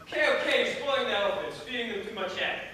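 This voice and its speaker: Young man acting a bossy, high-voiced quarrelsome brother. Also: fast talker, pushed and bright. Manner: scolding, emphatic. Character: bossy, quarrelsome man, stern son on the ark. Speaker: amateur stage actor. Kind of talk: loud, declamatory school stage acting. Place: theatre stage, distant audience camera. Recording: echoey stage, recorded from far off, hiss.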